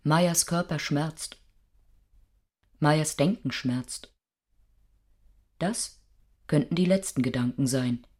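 An actor playing a detached narrator in a radio drama, speaking in terse, clinical, emphatic statements. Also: male voice, fairly high pitch, takes it slowly, long pauses, darkish tone, dry recording, studio quality. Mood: clinical, insistent. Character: detached clinical male narrator reporting a man's decline.

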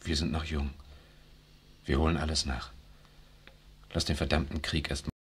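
An actor playing a bitter, pessimistic young husband and scholar in a 1960s radio drama. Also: male voice, rumbling low, flat delivery, normal speed, very noisy. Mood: weary. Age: young adult.

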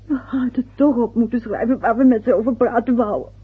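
A woman's voice: a little high, a little fast, slightly rough. An actress playing a flustered, sentimental middle-aged mother in a radio play, speaking hesitantly and with little exclamations. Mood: disappointed, tearful. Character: flustered, sentimental mother phoning her faraway son's family. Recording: tinny, narrow-band old radio recording.

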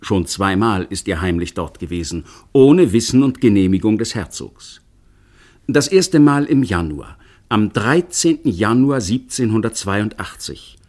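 A man narrating a radio drama in a measured, descriptive way. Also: fairly low pitch, darkish tone.

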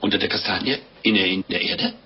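A man's voice: lowish voice, fast, slightly rough. An actor in a 1950s German radio drama playing a naive, horrified priest, hesitant and exclaiming as he hears a confession. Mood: innocent, puzzled. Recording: dull, noisy old radio recording.

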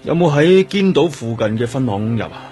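A man with a Hong Kong Cantonese accent acting as a serious, methodical police detective in a radio drama, speaking in a measured, probing way. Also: medium pitch, animated, dark tone, soft background music, close to an everyday voice.

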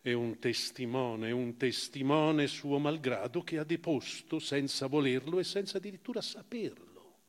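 A man giving a dramatic first-person literary reading on stage, theatrical, with emphatic rises and hushed phrase endings. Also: on the high side. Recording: reverberant hall, live stage reading.

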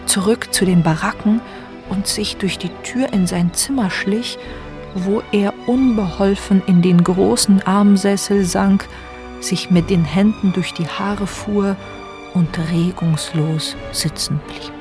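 A woman's voice: mid-range voice, slow. Woman narrator over music. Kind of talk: dramatic scripted story narration.

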